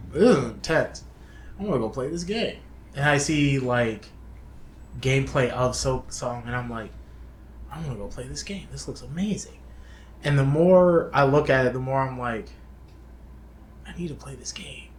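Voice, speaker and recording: In an echoey room, a man co-hosts a casual chat about gaming. He speaks slowly at a medium pitch, with the eagerness of a fan. A faint noise sits behind his voice. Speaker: co-host of a video game talk show. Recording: roomy echo with background hiss.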